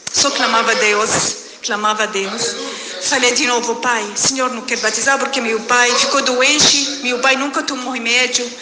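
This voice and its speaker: A middle-aged woman from Syria, giving a fervent church testimony in halting phrasing and calling out to the congregation. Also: fairly high pitch, steady tone. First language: Arabic. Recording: echoey hall with background noise.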